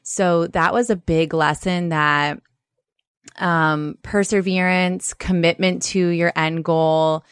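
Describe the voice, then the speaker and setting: A woman co-hosting a podcast speaks frankly and earnestly, with emphatic moments and the odd curse. Her voice is low, smooth and clear. The recording is dry and close-miked. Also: fairly even intonation, slow, no echo. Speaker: podcast co-host. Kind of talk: casual podcast chat.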